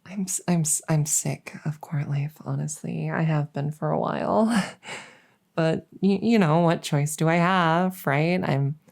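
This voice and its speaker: A woman's voice: low-pitched, vocal fry, a little mellow, exaggerated voice. A woman playing a world-weary young princess in an intimate audio roleplay, speaking hesitantly and confidingly, sometimes close to a whisper. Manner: hesitant, halting, confiding, with trailing pauses.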